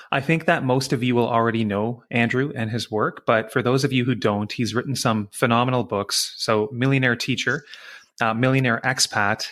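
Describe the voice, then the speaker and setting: Man, middle-aged, podcast host, enthusiastic, admiring, medium pitch, video call. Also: fairly bright tone. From Canada. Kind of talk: interview podcast.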